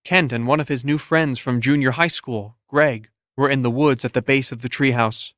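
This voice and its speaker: A man's voice: medium pitch, steady tone, normal speed, a bit bright, dry recording. Male audiobook narrator, dry close sound. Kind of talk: scripted first-person literary narration.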